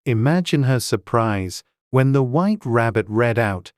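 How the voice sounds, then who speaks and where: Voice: mid-range voice, animated, measured pace, darkish tone, no echo, clean studio sound. An audiobook narrator reading a children's classic in a scripted storybook style.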